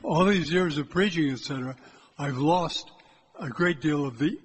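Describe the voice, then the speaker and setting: An older man, a priest, giving a loud, plain-spoken exhortation from the pulpit of a church. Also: moderate pace, a little hiss or hum. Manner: loud, hard time keeping his voice low. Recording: echoey church from a distance.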